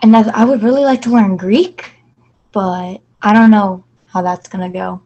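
A woman's voice: mid-range voice, moderate pace, warm tone, lo-fi sound. A woman from Virginia, a student guest chatting casually with lots of fillers. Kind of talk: casual chat.

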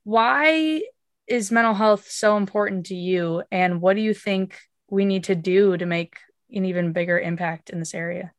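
A woman's voice: mid-range voice, moderate pace, no echo, studio quality. A woman hosting a casual podcast, effusive and earnest, her voice a little off as she recovers from an illness. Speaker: podcast host.

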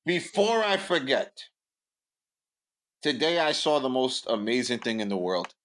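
A man's voice: medium pitch, sing-song, a little slow, fairly bright tone. A young man, telling stories bluntly and building up jokes on a podcast. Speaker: podcast co-host. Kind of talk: casual storytelling chat.

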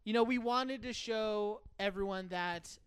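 A man's voice: high-pitched, a little slow, smooth, no echo, studio quality. A man from Texas hosting a podcast in a casual, joking, playful way. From Texas, United States. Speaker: podcast host. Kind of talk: casual chat.

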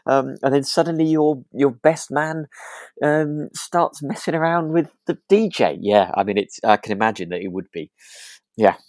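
A man's voice: mid-range voice, moderate pace, darkish tone, dry recording. A man co-hosting a podcast, chatting casually about a radio drama and circling back over his words as he thinks. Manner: hesitant, repeats himself as he thinks. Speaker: podcast co-host.

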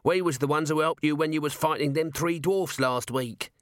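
A man's voice: fairly high pitch, moderate pace, a bit bright, no room sound, studio quality, pitched above the performer's own voice, natural voice. A man doing a wheedling, shifty corporal. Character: shifty male watch corporal, cowardly.

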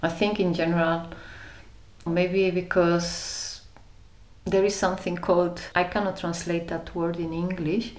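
A woman from Spain with a Spanish accent, telling personal stories reflectively and haltingly as an interview guest. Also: on the low side, level tone. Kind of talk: reflective personal storytelling in conversation. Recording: roomy echo around the voice.

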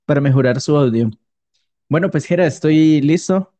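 A man's voice: a little high, moderate pace, warm tone. A man with a Colombian accent chatting casually and jokily as a streamer being interviewed. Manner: jokey. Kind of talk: casual improvised chat.

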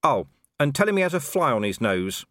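A man voicing a gruff, commanding city watch officer in an audiobook, with clipped orders and sarcastic bite. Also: mid-range voice, sing-song, fairly quick, fairly bright tone, dry recording, clean studio sound, pitched above the performer's own voice. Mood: dryly commanding.

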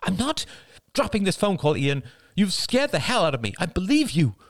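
A man's voice: high-pitched, sing-song, normal speed, dry recording, studio quality, voice pushed up. A man voicing an anxious, exasperated young mother in an audiobook, urgent and pleading. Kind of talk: audiobook character voice.

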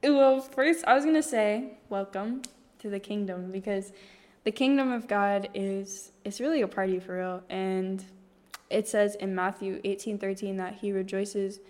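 Teen woman podcast co-host, chatty and self-correcting, mid-pitch; reverberant recording. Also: measured pace, darkish tone. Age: teens. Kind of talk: casual faith chat. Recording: room with long reverb.

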